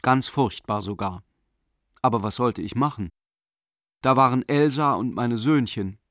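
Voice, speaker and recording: A man's voice: medium pitch, measured pace, a bit bright, dry recording, clean studio sound, lightly acted. An actor playing a sentimental art dealer in his forties, reading out a letter in a radio drama in an earnest, confiding way. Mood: wistful. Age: 40s.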